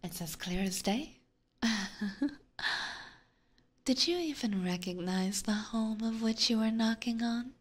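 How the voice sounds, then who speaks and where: A woman's voice: on the high side, takes it slowly, long pauses. A woman playing a haughty, teasing, predatory vampire in an ASMR roleplay, whispering breathily with formal, condescending diction close to a binaural microphone. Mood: teasing, knowing.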